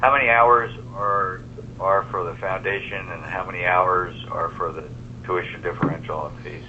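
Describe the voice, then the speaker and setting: A man's voice: deep voice, steady tone, moderate pace, pushed and bright. A man speaking as a committee member on a conference call, in a brief, businesslike question-and-answer style over a telephone line. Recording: dull, noisy telephone line.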